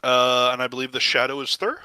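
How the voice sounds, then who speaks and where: A man running an online tabletop game as game master, relaxed and joking, often laughing. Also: medium pitch, brisk, clean tone, no echo.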